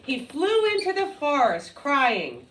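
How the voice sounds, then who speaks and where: A woman reading a folktale aloud to children, expressively, deliberately and with dramatic emphasis. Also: sits high, huge pitch swings, fairly bright tone, slight background noise. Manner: deliberate, dramatic, with sound effects and repetition. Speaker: storyteller. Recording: roomy echo, distant microphone.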